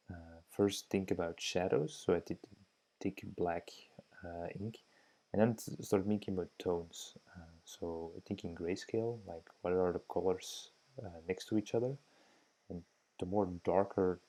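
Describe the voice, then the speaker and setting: A man's voice: low voice, mellow. A young Belgian man who speaks Dutch as his first language, giving hesitant, thoughtful voice-over commentary on his drawing, with many fillers. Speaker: drawing teacher.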